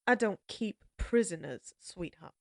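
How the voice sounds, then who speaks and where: A woman playing a menacing female outlaw leader who taunts her captives in a slow, mocking drawl. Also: fairly low pitch, expressive, creaky, a little mellow, no room sound, clean studio sound. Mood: taunting. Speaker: voice actress. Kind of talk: audio roleplay acting.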